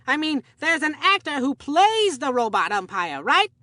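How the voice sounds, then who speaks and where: A cheerful, forgetful old grandpa calling baseball in a kids' cartoon game. The voice is high and piercingly bright, recorded very dry and close. He rambles in a folksy, excitable way. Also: normal speed, no room sound, exaggerated voice. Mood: rambling, folksy. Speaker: sports commentator in a children's baseball game.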